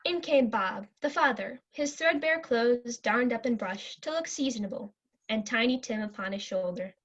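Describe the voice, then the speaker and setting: A young female student reads narration from a classic tale. She has a fairly high voice, captured in a dull, lo-fi recording of an amateur readers' theatre. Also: moderate pace. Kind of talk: scripted storybook narration.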